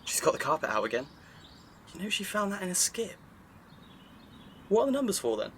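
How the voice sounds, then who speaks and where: An actor playing an amiable, naive, chatty neighbour with a community spirit in a naturalistic audio drama. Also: male voice, high voice, sing-song, a little fast, a bit bright, a little hiss or hum, exaggerated voice. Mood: mild, chatty.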